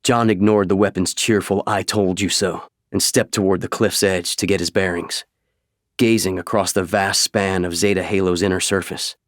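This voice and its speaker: A man reading scripted audiobook narration in a measured, dramatic way, close to a studio microphone. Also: low voice, no room sound.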